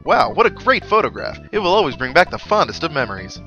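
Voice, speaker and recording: A man voicing an excitable, boastful photographer from a video game, in a loud, exclamatory showman's delivery. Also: a little high, quick pace, lo-fi sound, soft background music, pitched above the performer's own voice, full character voice. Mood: excited, boastful. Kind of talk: video game character voice-over.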